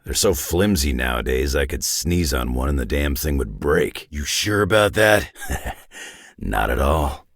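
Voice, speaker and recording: Gruff older mutant brawler, extremely deep, raspy and husky, blunt, weary, video game hero. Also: male voice, lively intonation, unhurried, dry recording, exaggerated voice. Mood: dry, conversational. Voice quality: gravelly, raspy, growling. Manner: gruff, blunt, laconic tough-guy drawl. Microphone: close, dry studio microphone.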